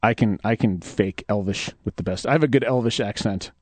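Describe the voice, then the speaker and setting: A man's voice: fairly low pitch, brisk, a little mellow, dry recording. A man co-hosting a poetry radio show with playful, self-mocking banter in a studio.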